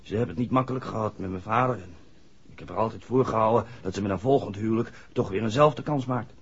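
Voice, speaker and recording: Earnest young garage man, husky. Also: on the low side, fast. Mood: defensive. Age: young adult. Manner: hesitant, deferential to his questioner. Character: earnest young village garage man, worried about mother. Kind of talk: 1960s radio play acting. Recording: dull 1960s radio drama recording.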